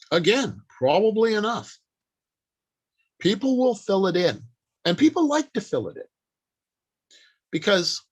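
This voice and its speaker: A man with a slightly high-pitched voice teaches in a workshop talk. He speaks slowly and deliberately, landing short, emphatic phrases with pauses between them. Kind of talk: instructional talk on writing.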